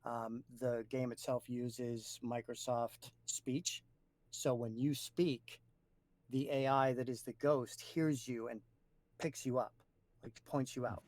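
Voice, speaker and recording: A man's voice: medium pitch, fairly even intonation, slow pace, a little mellow, no room sound. A man hosting a podcast, chatting casually and explaining things in an informal way. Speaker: podcast host.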